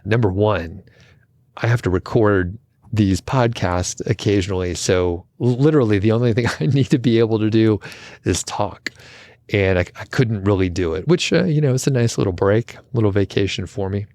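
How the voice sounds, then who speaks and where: Man, podcast host, low voice, a bit gravelly, rambling chatty tangents, dry close sound. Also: normal speed, a little mellow, no room sound. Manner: rambling, self-deprecating, tangent-prone. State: slightly gravelly from overuse. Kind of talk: casual solo intro chat.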